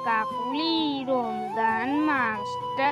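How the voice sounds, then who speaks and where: A performer voicing a meek, simple male crow husband in a children's cartoon, earnest and eager to please, with loud music over the voice. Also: medium pitch, extremely slow. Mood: placating. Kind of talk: children's fairy-tale cartoon dialogue.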